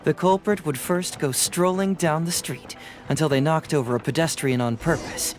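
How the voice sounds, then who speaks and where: A man's voice: on the high side, steady tone, normal speed, close to an everyday voice. A male actor plays a young detective from a video game. He sounds composed, breezy and sure of himself as he probes. Music plays faintly under the voice. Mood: confident, breezy. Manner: self-assured, probing.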